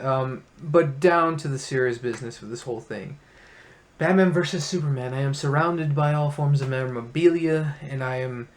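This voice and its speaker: A man hosting a casual movie review, talking as an enthusiastic fan in a room full of memorabilia. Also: on the high side.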